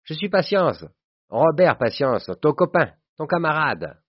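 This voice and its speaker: A man voicing a boisterous, vulgar, jovial rich old school friend in an audiobook, loud and exuberant. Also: medium pitch, sing-song, brisk, voice pushed up. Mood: boastful, cheery. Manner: loud, exuberant, back-slapping familiarity. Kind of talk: audiobook character voice. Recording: dull, roomy sound.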